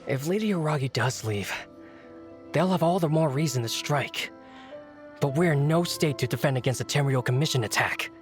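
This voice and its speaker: A man's voice: on the high side, animated, moderate pace, lightly acted. A man voicing a dutiful, anxious retainer in a video game, polite and earnest. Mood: alarmed. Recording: game music behind the voice.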